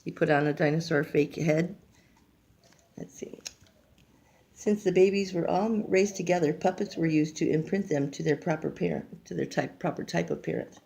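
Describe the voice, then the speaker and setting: An older woman reads a picture book aloud like a grandmother, haltingly, stumbling over words and adding casual asides. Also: low-pitched, vocal fry, mellow. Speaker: grandmother reading a storybook aloud.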